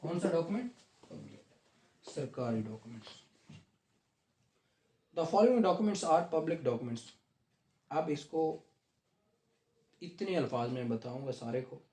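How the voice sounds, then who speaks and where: A man teaching a law class, reading legal text slowly and then explaining it conversationally to students. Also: on the high side, lively intonation, warm tone, a little hiss or hum. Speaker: law lecturer. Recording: echoey classroom.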